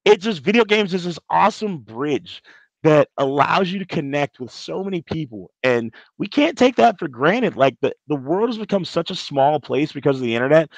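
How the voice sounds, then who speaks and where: A man's voice: a little high, dry recording, low-quality recording. A man chatting casually as a podcast guest, earnest and emphatic, often posing questions and answering them himself. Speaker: podcast guest, gaming commentator. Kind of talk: casual chat.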